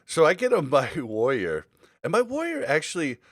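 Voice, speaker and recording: A man's voice: on the high side, wildly swooping pitch, normal speed, no echo, clean studio sound. A man hosting a casual gaming podcast, talking in a rambling, informal way. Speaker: podcast host.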